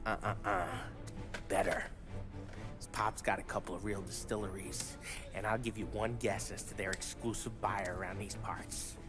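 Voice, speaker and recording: An actor playing a brash, talkative gangster who spins stories and cracks wise in a game cutscene. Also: male voice, fairly low pitch, level tone, normal speed, over music, natural voice. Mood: scheming. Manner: boastful storyteller, wisecracking. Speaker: mob crew member. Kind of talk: 1930s gangster game dialogue.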